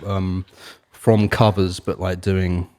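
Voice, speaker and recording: Man, very deep voice, laid-back interviewer, lots of likes and ums. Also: takes it slowly. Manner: relaxed, many fillers and short affirmations. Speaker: interview host. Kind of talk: casual interview chat. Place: music studio.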